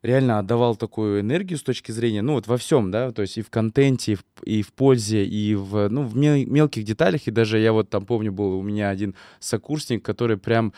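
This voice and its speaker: A man interviewing in a casual conversation, relaxed and agreeable, often affirming what the other says. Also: on the low side, fairly quick, hardly any pauses, no echo, clean studio sound. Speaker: interviewer, former trainee.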